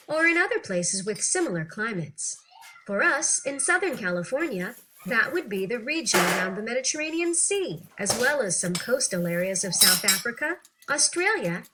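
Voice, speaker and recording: A woman reading an interview text aloud for children in an animated, clearly phrased, teacherly way. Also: a little high, very noisy. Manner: animated, clearly phrased, acting out questions and answers.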